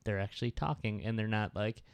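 A man's voice: on the low side, fairly quick, clean tone, no echo. A man co-hosting a comedy podcast, rambling and sarcastic, swearing casually as he talks. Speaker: podcast co-host.

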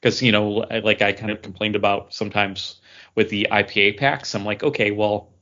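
A man's voice: lowish voice, fairly even intonation, a little fast, bright, forceful tone. A man co-hosting a podcast, chatting casually in a rambling, easygoing way. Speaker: podcast co-host. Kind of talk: casual chat. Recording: dull lo-fi recording.

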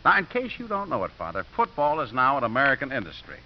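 A dull, lightly hissy vintage radio recording. a cynical washed-up coach with a medium-pitched voice that swings widely. He wisecracks in a breezy, sardonic way. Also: huge pitch swings, fast talker. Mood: bitter, sardonic. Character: cynical, wisecracking washed-up football coach turned pool hustler. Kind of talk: 1950s radio drama acting. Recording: muffled, hissy old 1950s radio recording.

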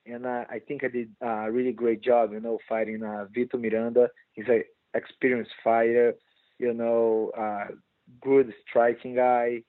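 A Brazilian man with a Portuguese accent, a guest speaking halting non-native English with many restarts and "you know". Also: medium pitch, flat delivery, no echo, phone-quality sound. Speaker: guest fighter. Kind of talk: casual interview chat.

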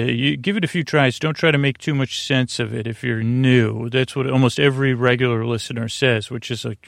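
Gravelly man rambling softly through a bedtime monologue. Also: medium pitch, no echo, clean studio sound. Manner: rambling, halting, frequent tangents and self-corrections; soothing, lulling delivery. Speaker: podcast host. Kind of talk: meandering sleep podcast monologue.

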